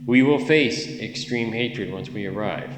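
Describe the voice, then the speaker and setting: A man's voice: on the low side, measured pace, a little hiss or hum, natural voice. Man playing a solemn old elder in echoing reverb. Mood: grave, worried. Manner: solemn, earnest. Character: grave, hopeful old refugee elder and grandfather. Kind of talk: audio drama acting. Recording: echoey hall reverb, faint music.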